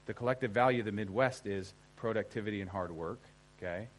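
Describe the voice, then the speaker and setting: A man's voice: on the low side, quick pace, rough recording. Conversational American man teaching a seminar. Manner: conversational, emphatic, often checks in with listeners. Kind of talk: informal seminar lecture to an audience.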